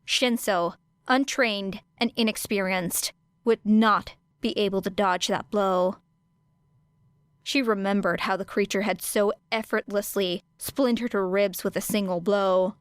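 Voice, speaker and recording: A woman reading a fan fiction aloud as an audiobook, in a steady, measured way. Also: mid-range voice, clean tone, no room sound. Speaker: podfic reader.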